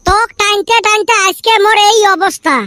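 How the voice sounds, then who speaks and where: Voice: extremely high pitch, moderate pace, sharp tone, slight background noise. A performer voicing a grumbling, teasing young village friend in a comedy cartoon, with a northern Bengali accent. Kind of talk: comic cartoon dialogue.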